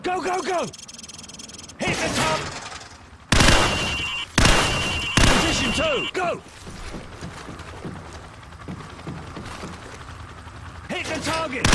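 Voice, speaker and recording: A man's voice: very high-pitched, heavy background noise, full character voice. A man playing a blunt, commanding British special forces captain in a military video game. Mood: urgent shouting. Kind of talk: video game dialogue, giving orders.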